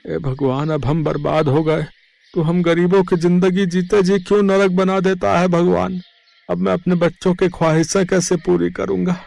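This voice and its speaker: A man's voice: high-pitched, little pitch movement, normal speed, creaky, a little mellow, no room sound. A man voicing a poor village farmer, an earnest, plaintive husband and father, in a moral story. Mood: despairing, pleading.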